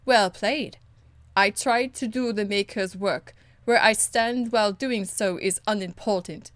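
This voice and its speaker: A woman's voice: mid-range voice, measured pace, no echo, lightly acted. A woman voicing a blunt, stern and dutiful warrior in a video game, speaking in a curt, earnest, formal way. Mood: modest, brusque. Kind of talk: video game dialogue acting.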